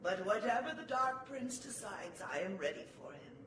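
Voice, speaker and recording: A man's voice: high-pitched, very animated, normal speed. A man playing a remorseful, centuries-old servant in a gothic audio drama, speaking in a brooding, formal, theatrical way. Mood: grave resignation. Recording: roomy, distant, noisy amateur recording.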